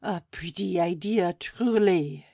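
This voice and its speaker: A woman's voice: on the low side, warm tone, dry recording, studio quality. A woman playing a fretful, exclamatory elderly housekeeper in a dramatised classic audiobook. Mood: grumbling. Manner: exclamatory, gasping, drawn-out lamenting.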